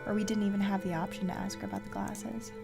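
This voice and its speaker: A woman's voice: mid-range voice, fairly even intonation, fast, vocal fry. A woman giving casual let's-play commentary, muttering to herself in short fragments while getting over a cold. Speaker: host of a game playthrough. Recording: loud game music behind the voice. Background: video game music.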